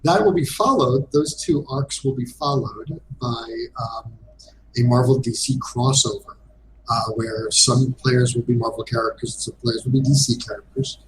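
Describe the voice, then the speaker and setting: A man giving a casual interview as a game designer guest, speaking hesitantly with frequent pauses. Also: mid-range voice, noisy background.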